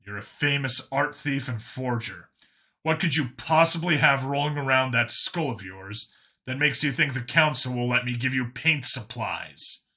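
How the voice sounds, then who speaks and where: A man voicing a gruff, sardonic human cop in a sci-fi audio story, with dry, curt delivery. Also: mid-range voice, lively intonation, moderate pace, pushed and bright. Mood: incredulous.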